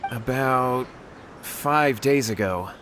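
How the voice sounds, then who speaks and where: A man's voice: mid-range voice, slow pace, a bit bright, a little hiss or hum. A man playing an anxious, deferential young man in a fantasy game, speaking in an earnest, respectful, pleading manner. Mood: insistent, worried. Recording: music behind the voice.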